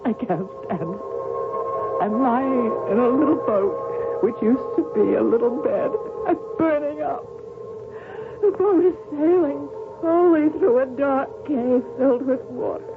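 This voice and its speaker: An actress playing an anguished, bitter young woman tennis champion in a halting, melodramatic radio drama style. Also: sits high. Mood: tormented, feverish. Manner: halting, melodramatic delivery with repetitions. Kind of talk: vintage radio drama acting. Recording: tinny lo-fi radio broadcast, music underneath.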